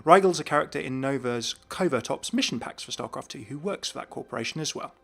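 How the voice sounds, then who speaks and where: A man's voice: mid-range voice, lively intonation, quick pace, vocal fry, a bit bright. A man giving scripted, explanatory commentary as the host of a gaming video. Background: background music.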